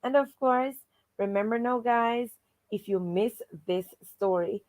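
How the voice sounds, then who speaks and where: A woman's voice: on the high side, a little slow, a little mellow. A woman hosting a children's read-aloud livestream, encouraging and fond of repeating stock welcome phrases. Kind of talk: livestream hosting, greeting viewers and recapping stories.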